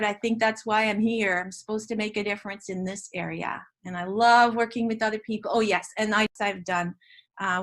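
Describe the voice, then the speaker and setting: An older woman, a Dutch native speaker from Canada, talks about her teaching work in an interview in an earnest, reflective way, often restarting her sentences. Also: on the high side. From Ontario, Canada. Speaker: guest educator.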